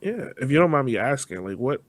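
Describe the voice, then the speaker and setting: A man's voice: mid-range voice, very animated, fairly quick, dry recording. A man hosting a podcast interview in a casual, informal, slangy way. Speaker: podcast host.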